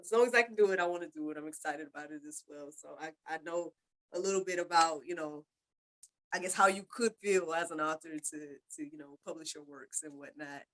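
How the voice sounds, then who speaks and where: A woman's voice: deep voice, a little fast, a bit bright, poor audio. A woman podcast host chatting casually in an interview, with an informal, rambling way of talking. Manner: informal, rambling, repeats "you know".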